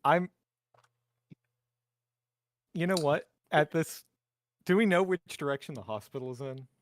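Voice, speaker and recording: A man playing a tabletop roleplaying game, thinking aloud in a halting, casual way. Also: a little high, no echo. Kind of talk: casual in-character game chat.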